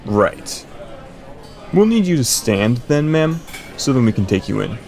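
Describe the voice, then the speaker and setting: Wildly inflected town guard. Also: male voice, medium pitch, very animated, a little slow, gravelly, darkish tone, soft background music. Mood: officious. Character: dutiful, officious male town guard. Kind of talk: fantasy audio drama acting.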